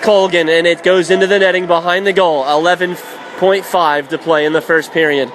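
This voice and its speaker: High-pitched man calling hockey over loud noise. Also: a little fast. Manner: excitable on shots, clipped present-tense calls. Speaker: play-by-play hockey announcer. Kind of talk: live radio sports play-by-play commentary. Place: indoor ice hockey arena. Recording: loud rink noise behind the voice.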